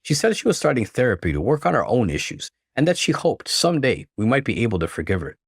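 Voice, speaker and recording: A man reading a first-person story as narrator, in an expressive, emphatic and dramatic manner. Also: medium pitch, fast talker, gravelly, slightly rough, dry recording, studio quality.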